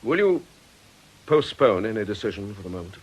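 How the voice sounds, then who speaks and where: An actor playing an earnest, reasonable priest in a British radio drama, tactful, concerned and gently challenging. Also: male voice, fairly low pitch, very animated, a little fast, slightly rough. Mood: reassuring, tactful.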